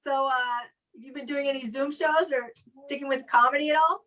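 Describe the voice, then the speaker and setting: A woman's voice: sits high, fairly even intonation, normal speed, a bit bright, low-quality recording. A woman hosting a casual online comedy chat from home, rambling along and acting out what people said. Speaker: host of an online comedy show. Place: home, video call.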